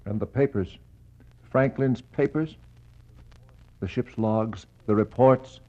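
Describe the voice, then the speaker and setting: An actor playing a brooding, mournful explorer, speaking poetic lines gravely and rhetorically in a 1960s radio verse drama. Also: male voice, medium pitch, takes it slowly, darkish tone, close to an everyday voice. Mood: bitter, musing. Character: brooding male Arctic explorer, reflective and mournful. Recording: muffled 1960s radio recording.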